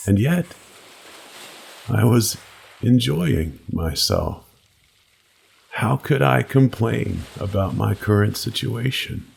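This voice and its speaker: Male horror narrator: deep, heavily gravelly, slow and brooding, dramatic scripted read over faint ambient noise. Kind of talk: dramatic scripted first-person creepypasta narration.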